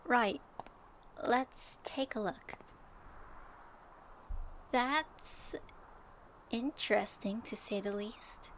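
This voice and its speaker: A woman playing an earnest, gently wry young traveler in an ASMR audio roleplay, soft and thoughtful. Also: fairly high pitch, extremely slow, long pauses. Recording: faint noise and ambience.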